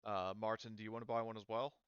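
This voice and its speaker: A man with a low, smooth voice guides a tabletop game in casual talk. He explains things patiently, step by step. The sound is dry and slightly dull. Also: level tone, quick pace, dry recording. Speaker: game master.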